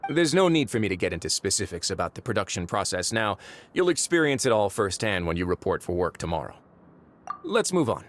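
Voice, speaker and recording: An actor playing a composed male prison warden in a game, with a measured, dry and authoritative way of talking. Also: lowish voice, slight background noise. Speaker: prison administrator. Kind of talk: game voice acting. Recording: studio game audio with faint music.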